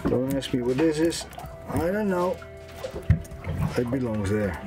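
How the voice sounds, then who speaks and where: A man's voice: medium pitch, loud music behind the voice. A man in his fifties casually talking through a motor repair at a small workbench, thinking aloud as he works. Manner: slow, relaxed, thinking aloud while working with his hands. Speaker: sailing vlogger repairing boat gear. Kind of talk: casual how-to narration. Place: small workshop bench aboard a boat.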